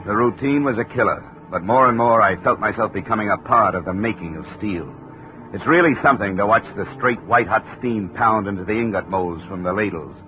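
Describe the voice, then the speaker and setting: An actor playing a wry, clipped American insurance investigator in a 1950s radio detective drama, on a vintage radio recording. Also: male voice, fairly low pitch, fairly quick, lightly acted. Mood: wry, businesslike. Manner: wry, clipped, matter-of-fact. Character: hardboiled wisecracking male American insurance investigator. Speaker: lead actor narrating as investigator. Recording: tinny vintage radio recording, music behind.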